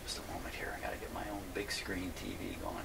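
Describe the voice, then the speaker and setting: A man's voice: deep voice, sing-song, fast, creaky, in a whisper. A man casually narrating his hands-on repair work as a video host, rambling and thinking aloud to himself. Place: indoor home workshop.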